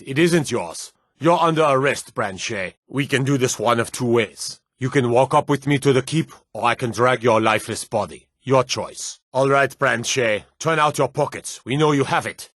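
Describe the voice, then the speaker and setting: A stern fantasy game guard acted by a man, wary and authoritative. His voice sits at an average male pitch. He speaks curtly, like a soldier on duty, in a very dry recording with no room around it. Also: fairly bright tone, dry recording, natural voice. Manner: authoritative, curt, clipped commands. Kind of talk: fantasy video game character dialogue.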